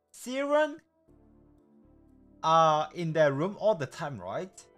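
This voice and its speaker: A woman streamer giving casual live game commentary in an informal, halting way with slang. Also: sits low, big pitch swings, faint music behind.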